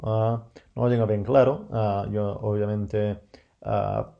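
A man speaking Spanish as a second language, rambling casually as a podcast host and often pausing to search for words. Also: fairly low pitch, flat delivery, dull audio. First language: not Spanish. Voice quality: gravelly, hesitant with many fillers and self-corrections. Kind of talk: casual monologue in a learner's Spanish.

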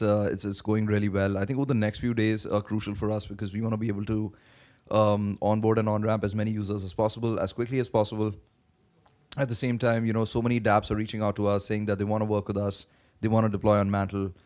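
A man being interviewed as a guest, answering in a halting way with many fillers, his voice worn from days of talking. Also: fairly low pitch, darkish tone. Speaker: guest, blockchain project team member. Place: conference venue.

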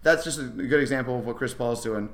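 A man's voice: mid-range voice, fast talker. A man co-hosting a sports podcast, giving casual, emphatic and opinionated analysis in a roomy space. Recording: roomy, echoey sound.

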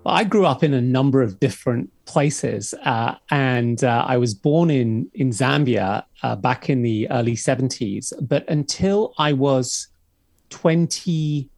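A man's voice: mid-range voice, vocal fry, darkish tone, dry recording, soft background music. Guy about fifty, thoughtful, lots of uhs, dry close sound. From England. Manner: measured and reflective, many uhs. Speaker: podcast guest. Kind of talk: interview answers.

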